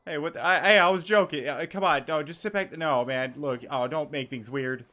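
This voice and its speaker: A man's voice: a little high, a little fast, bright, forceful tone. A young man giving casual let's play commentary, slangy, sweary and joking. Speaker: gaming YouTuber.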